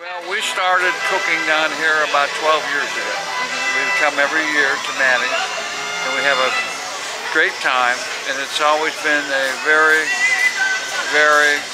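Male cook-off contestant, American, slightly raised pitch, informal, loud music underneath. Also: steady tone, normal speed, rough recording. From United States. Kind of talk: casual on-camera interview. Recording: loud music and vehicles behind.

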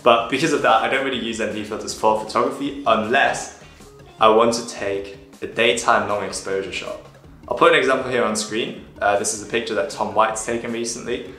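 A young man vlogging to camera from home, casually explaining photography step by step in a relaxed, chatty way. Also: lowish voice, brisk, faint music behind. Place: small room at home, indoors. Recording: echoey room.

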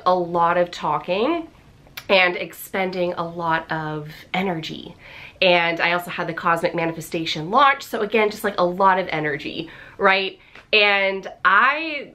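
A woman in her thirties chatting to a desk camera in her home office, her voice bright and forward. She sounds slightly hoarse, and she talks in an upbeat, rambling way with lots of fillers. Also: lowish voice. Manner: chatty, upbeat, many fillers and little laughs. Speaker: vlogger. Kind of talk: casual vlog chat. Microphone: camera on the desk facing her.

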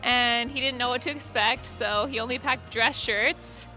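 A woman's voice: fairly high pitch, measured pace, pushed and bright, soft background music, low-quality recording. A young woman outdoors by Christmas trees, telling an amused story, holding a mic. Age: young adult. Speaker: street interviewee. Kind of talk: casual storytelling. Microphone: handheld mic.

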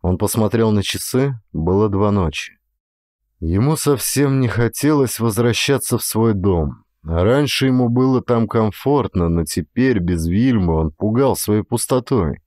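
Low-voiced man narrating a thriller. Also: warm tone, no room sound, studio quality. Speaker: audiobook narrator, actor. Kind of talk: dramatic scripted narration of a thriller novel. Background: background music.

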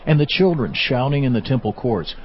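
A man's voice: medium pitch, animated, a little fast. A man narrating scripture as the narrator of a dramatized audiobook. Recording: slightly dull, faintly noisy sound.

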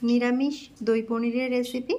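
A woman with a Bengali accent who hosts a cooking channel, calmly talking through a recipe step by step in her home kitchen. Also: fairly high pitch, moderate pace. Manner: calm, plain instructional tone, listing ingredients.

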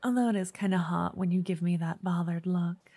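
Woman acting a soft-voiced, pushy, obsessive fangirl. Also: on the low side, a little fast, husky, no echo. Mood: insistent, teasing. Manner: chatty, coy, insistent, slipping into whispers. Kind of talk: ASMR roleplay.

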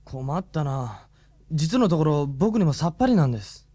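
A man's voice: a little high, very animated, moderate pace, dark tone, dry recording. A young man acting in a radio drama as an earnest, brooding teenage schoolboy who speaks plainly. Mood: puzzled. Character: teenage schoolboy kendo player, earnest and troubled.

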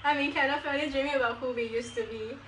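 A woman's voice: sits high, steady tone, quick pace. A young woman vlogger chatting casually to camera and making prank phone calls, with a giggly manner. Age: young adult. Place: small living room indoors. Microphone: camera a few steps away. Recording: roomy, noisy, distant camera microphone.

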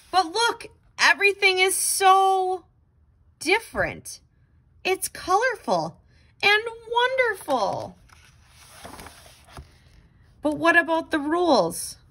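A woman reading a children's picture book aloud in an expressive, dramatic, exclaiming way. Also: very high voice, very slow, pauses often. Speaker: storybook reader.

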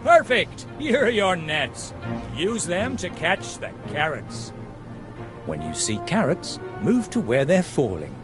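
An actor playing a heroic, jolly potato superhero movie star in a children's cartoon game, with a theatrical, encouraging manner. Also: male voice, a little high, big pitch swings, slow. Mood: grand, rallying. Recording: music behind the voice.

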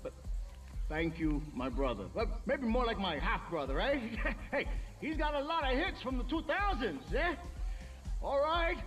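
A man's voice: very high voice, sing-song, a little slow, fairly bright tone, soft background music, full character voice. An actor playing a vain, boisterous drug lord showing off at his party in a video game. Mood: bashful, teasing. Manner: showy, hollering, teasing.

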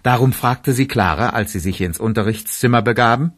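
A man's voice: medium pitch, brisk, a bit bright. A man narrating a children's radio play in a scripted storybook style.

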